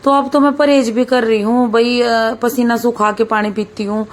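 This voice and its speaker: Hoarse chatty woman vlogger. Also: on the high side, flat delivery, moderate pace, slight background noise. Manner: chatty, keeps saying "bhai", talks while cooking. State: hoarse, sore throat. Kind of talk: casual vlog chat.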